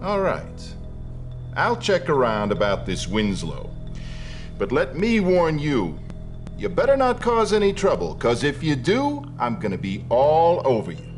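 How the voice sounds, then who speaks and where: A man's voice: a little high, wildly swooping pitch, measured pace, soft background music. A man playing a tough, skeptical police detective in a noir video game, brusque, dismissive and threatening in his streetwise talk.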